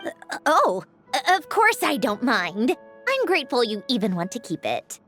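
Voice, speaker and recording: A huffy young woman from a game, with a fairly high voice. Her snarky lines jump up and down in pitch over faint music. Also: wildly swooping pitch, normal speed, a bit bright. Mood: flustered, modest. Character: prickly, easily exasperated young woman, grudgingly modest. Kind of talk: video game voice acting.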